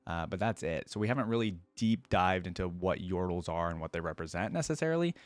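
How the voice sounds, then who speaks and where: Dude chatting through a reaction vid, informal and musing, clean voice, no room sound. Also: male voice, deep voice, lively intonation, fast talker, no echo. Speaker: reaction video host. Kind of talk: casual reaction commentary.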